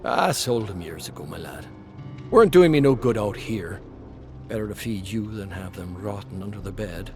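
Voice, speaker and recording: A man's voice: on the low side, animated, normal speed, natural voice. A man voicing a poor, resigned working-class father who talks in plain folksy dialect, in a game story. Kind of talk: dramatized game lore narration. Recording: music under the voice.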